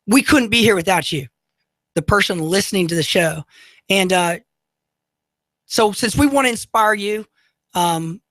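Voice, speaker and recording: Man in his 30s, high unusual voice, upbeat talk radio host, dry studio sound. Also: a bit bright, dry recording. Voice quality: high, unusual voice often mistaken for a woman. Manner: upbeat, motivational, many fillers. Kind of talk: talk radio monologue with ad reads. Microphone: studio microphone.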